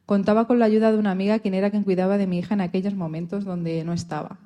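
A woman's voice: mid-range voice, fairly even intonation, quick pace, dark tone, lo-fi sound, close to an everyday voice. A woman playing a resilient, proud historical pianist and composer, telling her life story earnestly in a radio interview. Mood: regretful. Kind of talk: scripted mock radio interview.

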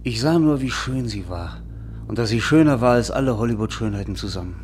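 A man's voice: medium pitch, animated, fairly quick, a little mellow, slight background noise, close to an everyday voice. A man acting in a German radio play as a wistful American novelist who talks in a reflective, storytelling way. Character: wistful American novelist reminiscing about his lost marriage.

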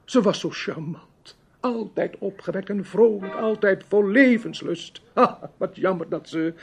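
Sly menacing radio drama gentleman, super swoopy pitch, gravelly, polite but creepy. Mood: mock-solemn, ominous. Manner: theatrical, drawn-out, teasing, courteous menace. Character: sly, menacing foreign gentleman lurking in the dark. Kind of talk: Dutch radio drama acting.

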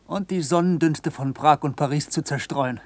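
Higher-voiced eager scientist's assistant played by a man. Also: moderate pace, natural voice. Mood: eager, confident. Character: eager, confident assistant to an astronomer. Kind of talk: German radio play acting.

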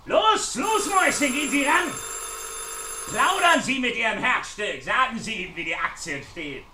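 High-pitched, menacing, jeering intruder. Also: male voice, lively intonation, moderate pace, recorded from a distance, slight background noise, exaggerated voice. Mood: jeering, angry. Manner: jeering, mock-polite, swinging from muttering to shouting. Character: wounded menacing male intruder, mocking and taunting through a door. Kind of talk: German radio crime play acting. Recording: echoey radio play, off the mic.

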